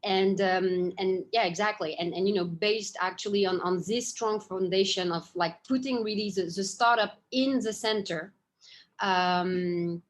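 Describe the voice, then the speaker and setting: A woman's voice: medium pitch, a little slow. A woman in her forties with a French accent, answering interview questions in a casual, hesitant way with frequent fillers and restarts. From France. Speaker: guest speaker.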